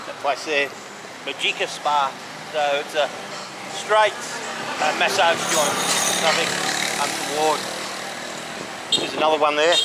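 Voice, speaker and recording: Man, fairly high voice, casual street tour commentary, halting delivery, outdoors, clear vehicle noise. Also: fairly even intonation. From Australia. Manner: halting, pointing out sights as he walks. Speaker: walking tour vlogger. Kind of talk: casual walk-and-talk commentary. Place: outdoors, walking along a city street.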